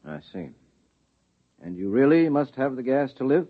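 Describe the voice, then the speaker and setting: A man's voice: mid-range voice, sing-song, normal speed. A man playing a curious, wry male government official in a 1950s radio drama, asking probing questions. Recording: thin tinny 1950s radio broadcast.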